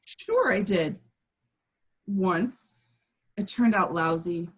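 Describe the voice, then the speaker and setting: A woman playing a roguish, excitable male space scoundrel in a script table read, with an emphatic delivery. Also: mid-range voice, drawn-out words, husky, exaggerated voice. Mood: wry.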